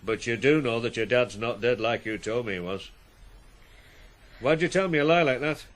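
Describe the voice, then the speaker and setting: An actor in a 1980s radio drama playing a stern but fair farm boss, plain-spoken, measured and questioning. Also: male voice, medium pitch, close to an everyday voice. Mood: stern, probing. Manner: measured, questioning, matter-of-fact.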